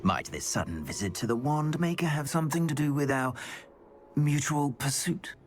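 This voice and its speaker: A man playing an arrogant, condescending villain wizard in a video game, with a theatrical, drawn-out delivery. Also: on the high side, lively intonation, faint music behind, natural voice. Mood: probing, insistent.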